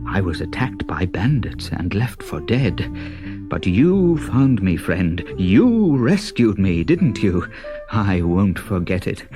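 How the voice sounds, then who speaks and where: A man's voice: deep voice, sing-song, slow pace, mellow, soft background music, full character voice. A man voicing a grand, grateful, wounded desert king in a storybook, with emphatic, declaiming speech. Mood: grateful, proud.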